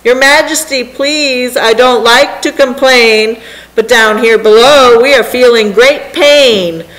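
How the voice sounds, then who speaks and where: A woman's voice: high-pitched, expressive, takes it slowly, fairly bright tone, voice pushed up, full character voice, rough recording. A woman voicing a meek, suffering little turtle in a storybook, groaning and pleading politely. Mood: plaintive.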